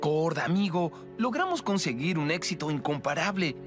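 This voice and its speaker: A man with a Latin American Spanish accent playing an earnest, encouraging young team leader in an animated series. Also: fairly high pitch, normal speed, close to an everyday voice. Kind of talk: animated series dub acting. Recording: loud music behind the voice.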